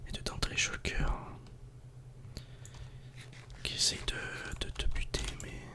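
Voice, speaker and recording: A man whispers casual ASMR game commentary in a breathy, unvoiced voice, speaking directly to the viewer. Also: moderate pace. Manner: casual, soft-spoken, addressing the viewer directly. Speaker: ASMR gaming video creator.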